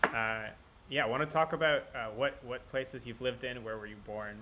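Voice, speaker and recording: A man's voice: mid-range voice, steady tone, moderate pace, very noisy. A man in his twenties, raised in Russia and Israel, reading out viewer questions in a casual livestream and laughing often. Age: 20s. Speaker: band member moderating a live Q&A.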